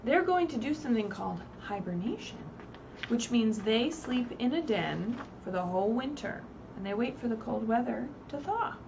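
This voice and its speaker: A woman reading aloud expressively at a children's story time, pausing to put simple questions to her young listeners. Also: on the high side, animated, vocal fry, dull audio. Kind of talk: animated read-aloud with asides to young children. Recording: distant mic, faint background noise, livestream.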